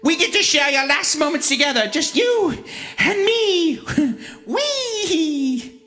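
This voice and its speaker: A man's voice: extremely high pitch, very animated, slow, pushed and bright, full character voice. A man voicing a cheerful, murderous female pink pony in a sing-song, menacingly sweet manner for a story reading. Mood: gleeful menace. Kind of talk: dramatic comedic story reading.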